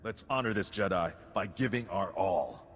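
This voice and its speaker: A man playing a deep-voiced, stern army sergeant. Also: moderate pace, lightly acted. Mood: businesslike. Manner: gruff, commanding, clipped. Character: stern, tough male military sergeant. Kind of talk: video game voice acting. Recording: hall reverb, music behind.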